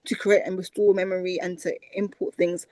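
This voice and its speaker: A woman's voice: on the low side, brisk, dry recording. A British woman, a language researcher, talking animatedly as a guest in a conversational interview. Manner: animated, repeats words for emphasis.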